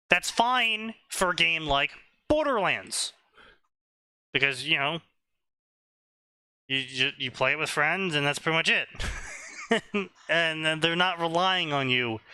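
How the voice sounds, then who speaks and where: A man co-hosting a casual stream, talking slowly and deliberately, often checking "right?". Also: fairly high pitch, sharp tone, clear, resonant tone, dry recording. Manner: explanatory, slow and deliberate, asks "right?" often. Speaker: stream co-host.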